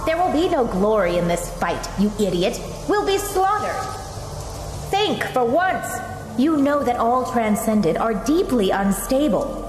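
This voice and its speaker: Sharp-tongued warrior woman, echoing. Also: a little high, sing-song, measured pace, noisy background. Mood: angry, warning. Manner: scolding, cutting, threatening. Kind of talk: video game cutscene acting. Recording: echoey hall reverb with music behind.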